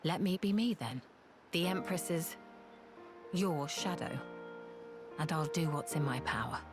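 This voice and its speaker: Low, bitter, proud young woman. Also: lively intonation, a little slow. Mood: grave, wistful. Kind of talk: dramatic video game acting. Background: loud orchestral strings music.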